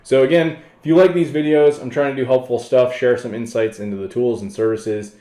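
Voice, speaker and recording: A man hosting a casual software tutorial, explaining things informally and off the cuff. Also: medium pitch, steady tone, fairly quick. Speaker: tutorial host. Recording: roomy, echoey voice-over.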